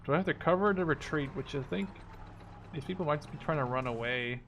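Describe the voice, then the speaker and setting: A man's voice: on the high side, fast. A man in his twenties giving casual strategy game commentary, thinking aloud in an informal way. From Netherlands. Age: 20s. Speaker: gaming video creator. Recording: clear gunfire and artillery noise behind. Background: game battle sounds, gunfire, artillery.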